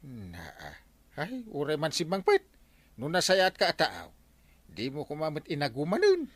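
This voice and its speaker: A man with an Ilocano Filipino accent playing a village captain in a radio comedy drama, speaking haltingly as he handles complaints. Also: fairly high pitch, wildly swooping pitch, a bit bright, breathy edge, heavily acted. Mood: questioning.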